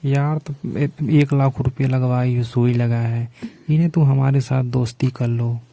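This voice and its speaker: A man's voice: mid-range voice, fairly even intonation, unhurried, vocal fry, warm tone, no room sound. A man playing a pushy, flirtatious neighbour in a staged phone conversation, coaxing in colloquial speech. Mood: coaxing, insistent.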